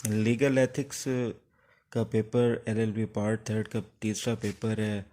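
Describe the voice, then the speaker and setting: A man with a Pakistani accent giving an informal law lecture, explaining haltingly, mixing in English terms and posing questions that he then answers. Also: fairly low pitch, dark tone. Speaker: law teacher.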